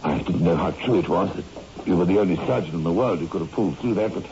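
Actor as earnest, mellow-voiced assistant surgeon. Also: male voice, low-pitched, fast, natural voice. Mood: respectful, solemn. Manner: formal, urgent, emphatic. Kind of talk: 1960s radio horror drama acting. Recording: muffled, noisy old radio recording.

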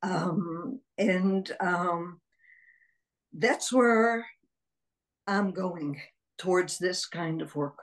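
A woman in her sixties who grew up in Israel, speaking slowly and deliberately as an interview guest. She pauses often and reaches for her words, unhurried and reflective as she tells her story. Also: on the low side, rough recording. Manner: slow, measured, with frequent pauses and fillers. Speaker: guest psychologist.

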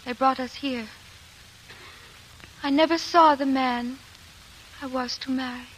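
A woman's voice: sits high, steady tone, takes it slowly, fairly bright tone, slight background noise, rough recording. A shy wistful noblewoman. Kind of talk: 1940s radio acting. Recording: old radio broadcast.

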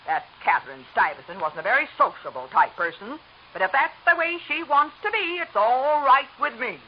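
A woman's voice: fairly high pitch, animated, moderate pace, a little hiss or hum, close to an everyday voice. An actress playing a nosy, prickly older neighbor woman in a 1940s radio drama. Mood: gossipy. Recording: dull lo-fi old radio recording.

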